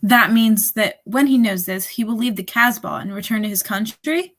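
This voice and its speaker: A woman's voice: mid-range voice, normal speed, natural voice. A woman playing a haughty, possessive nightclub singer with imperious, melodramatic delivery in a radio drama. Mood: wary. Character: Aisha, haughty, possessive female singer of the Casbah. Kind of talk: 1940s radio drama acting.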